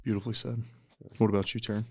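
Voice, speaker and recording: A man hosting a podcast in a calm, soothing, measured manner. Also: on the low side, breathy edge. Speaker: podcast host. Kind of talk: measured interviewing and reciting.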